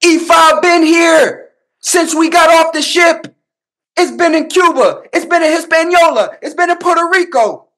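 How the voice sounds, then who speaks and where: A man's voice: very high voice, a little slow, fairly bright tone. A man with an American accent giving casual reaction commentary in a combative, emphatic, slangy way, repeating himself for punch. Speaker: host, babalawo commentator.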